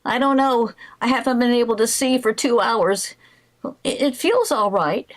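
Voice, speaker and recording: A woman's voice: a little high, animated, normal speed, close to an everyday voice. A woman playing a frazzled, wry, weary housewife in 1940s radio comedy style, with dramatic exclamations. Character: frazzled, stubborn young housewife knitting late, wry and weary.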